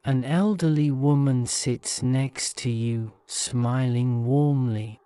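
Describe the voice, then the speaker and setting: A man narrating a guided-imagery sleep story in a soothing, measured manner. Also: mid-range voice, mellow, clear, resonant tone, no echo.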